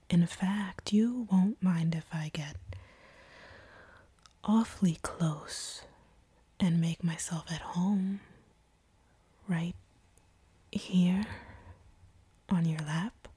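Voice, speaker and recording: A woman playing a seductive, teasing, slightly sadistic vampire captor in an intimate audio roleplay, speaking in a breathy whisper close to the microphone. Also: lowish voice, mellow, no echo, full character voice. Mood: taunting, hungry. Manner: slow, teasing, predatory purr. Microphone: close microphone, dry.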